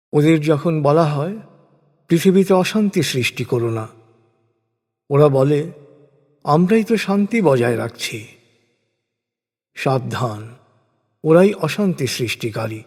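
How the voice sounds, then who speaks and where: Husky man narrating scripture in long reverb. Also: a little high, a little mellow. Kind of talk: solemn scripted religious recitation of translated scripture and commentary.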